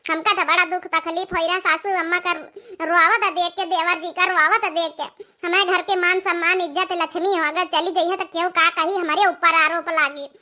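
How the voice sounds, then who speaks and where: An outspoken, moralising village sister-in-law played by a woman in an extremely high, bright cartoon voice. Her Awadhi-accented talk is earnest, dramatic and repetitive. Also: fairly even intonation, normal speed. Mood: anxious pleading. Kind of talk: comic village cartoon dialogue.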